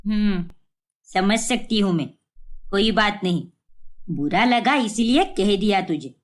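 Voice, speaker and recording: A woman's voice: medium pitch, unhurried, lightly acted. A woman voicing a middle-aged mother who nags and complains in a family drama story. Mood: sulky. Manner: scolding, complaining. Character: nagging, wounded middle-aged mother-in-law.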